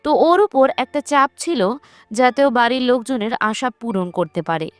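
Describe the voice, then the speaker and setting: A woman reads a scripted horror recap like a storyteller. Her voice sits on the high side. The recording is dry and close, with light music underneath. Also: animated, normal speed, no echo. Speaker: narrator of a movie recap channel. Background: background music.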